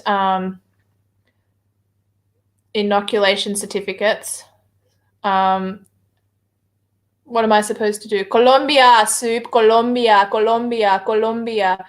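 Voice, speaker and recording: A woman with an Australian accent talks casually on a livestream, hesitating often with filler words as she answers viewer comments. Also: mid-range voice. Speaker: livestream guest reading viewer chat.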